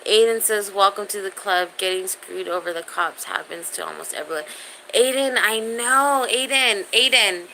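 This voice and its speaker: Bright-voiced woman in her thirties, casually swearing. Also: mid-range voice, normal speed, rough recording. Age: 30s. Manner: profane, slangy, animated addressing of viewers. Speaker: live streamer chatting with viewers. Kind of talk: casual livestream chat, reading out viewer comments. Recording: faint background noise.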